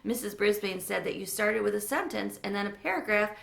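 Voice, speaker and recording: A woman's voice: mid-range voice, lively intonation, fairly quick, creaky, a bit bright, reverberant. A woman voicing a friendly, encouraging woman author visiting a classroom in a read-aloud story. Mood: delighted.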